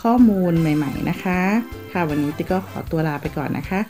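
A woman from Thailand talks through a travel vlog in a polite, chatty way, each sentence ending softly. Her voice sits low, with faint music under it. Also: a little fast. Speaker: travel vlogger. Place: outdoors in a city, partly on a tram.

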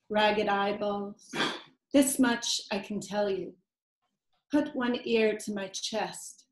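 A woman author on a video call, reading her prose poems in a soft, measured way. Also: mid-range voice, poor audio. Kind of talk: slow poetry reading.

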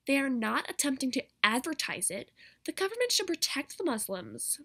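A woman's voice: sits high, very animated, moderate pace, creaky, a bit bright, voice pushed up, exaggerated voice. A teenage girl voicing a stereotypical affected teen girl whose speech swings up and down between notes.

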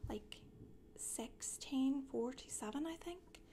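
A woman in her thirties playing a chatty Scottish witch in soft-spoken, breathy, deadpan ASMR storytelling with a put-on Scottish accent. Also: sits high, takes it slowly, a little hiss or hum. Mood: casual, confiding. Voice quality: breathy, whispery. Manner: hesitant, colloquial, deadpan.

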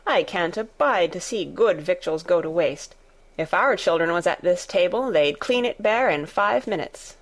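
A good-tempered young maid with a broad Yorkshire accent chatters in a homely, outspoken way, as a woman narrator puts her on. The voice carries a slight gravelly edge, and she sounds plain-spoken and warm-hearted in this storybook reading. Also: on the low side, lively intonation, moderate pace. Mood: indignant.

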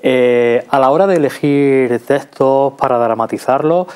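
A man's voice: mid-range voice, a little slow, darkish tone, smooth. A man in his thirties with an accent from Spain, hosting a studio interview in a conversational way, on a lapel mic. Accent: Spanish from Spain. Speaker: TV culture show host. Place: small TV studio.

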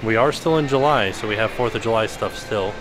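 A man's voice: fairly low pitch, brisk, background noise. A man giving a casual, curious walking-tour commentary while filming on a handheld camera. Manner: chatty, curious, thinking aloud. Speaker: vlogger touring a resort. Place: indoor restaurant hall and outdoor resort grounds.

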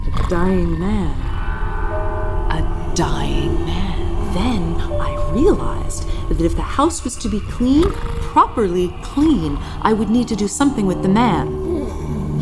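An actress playing a devoted, sternly fussy housekeeper obsessed with cleanliness, speaking in a prim, formal, intense manner in a radio drama. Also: female voice, medium pitch. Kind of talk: theatrical radio drama monologue and dialogue.

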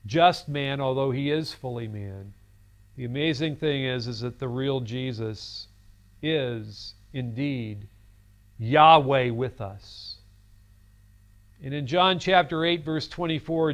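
A man in his fifties giving a recorded seminary lecture in a studio classroom set, speaking earnestly and telling a story on a lapel mic. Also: medium pitch. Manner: earnest, storytelling, gestures while talking.

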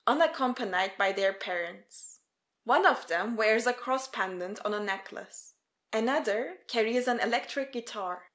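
A woman's voice: mid-range voice, fairly bright tone. A woman reading scripted narration for a documentary story in the third person.

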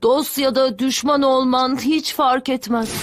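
A woman's voice: high-pitched, fairly even intonation, unhurried, noisy background. A woman voicing a small, earnest young boy with a vulnerable, friendly manner in a dubbed cartoon. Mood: anguished, fearful.

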